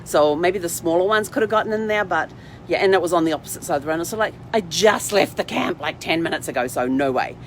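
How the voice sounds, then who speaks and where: Chatty woman vlogging from inside a parked rv, lowish voice, noticeable hum behind her. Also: fast talker. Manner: chatty, informal, talks to her dog in asides. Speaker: vlog host. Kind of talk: casual rambling livestream chat.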